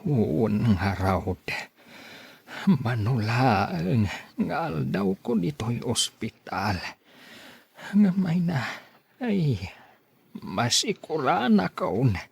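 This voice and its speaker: A man playing a weary old grandfather in an Ilocano radio drama, halting and gently complaining. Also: medium pitch, sing-song, no room sound, full character voice. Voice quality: husky, creaky.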